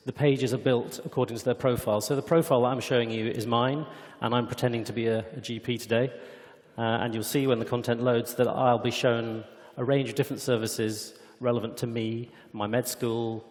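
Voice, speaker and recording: A man giving a product demonstration at a conference, explaining in a measured way, recorded in a reverberant hall. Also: mid-range voice, a little mellow. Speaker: company executive presenting a product demo. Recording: echoey hall with long reverb.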